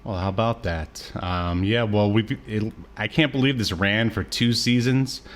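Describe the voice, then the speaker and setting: Low-voiced man chatting casually. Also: steady tone, a little slow. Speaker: podcast co-host. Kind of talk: casual chat recapping a show.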